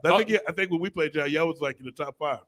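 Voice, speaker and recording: A man from St. Louis with a somewhat high voice co-hosts a sports show. He chats casually and spins stories, jokey and halting, often repeating himself. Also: fairly bright tone. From St. Louis, United States. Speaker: sports talk co-host, former football player. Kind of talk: casual chat and storytelling.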